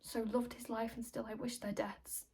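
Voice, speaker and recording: A woman acting as a grieving noblewoman of Renaissance tragedy, set on revenge. She declaims her verse with intense reproach in a fairly high, breathy voice. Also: level tone, moderate pace, a little mellow. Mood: vengeful resolve. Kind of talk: classical verse tragedy monologue.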